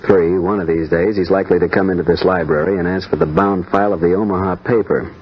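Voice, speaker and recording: An actor playing a matter-of-fact homicide detective in a 1950s radio drama, talking in a clipped, deliberate way. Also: male voice, low-pitched, fairly even intonation, husky. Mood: businesslike. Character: matter-of-fact, hard-boiled homicide police sergeant. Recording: dull old radio recording.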